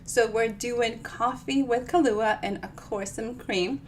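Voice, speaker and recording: A woman's voice: on the high side, slow pace, a little hiss or hum. A woman from the Dominican Republic chats casually and playfully as an author hosting a livestream storytime, in a bubbly, informal way. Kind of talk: casual chatty livestream talk.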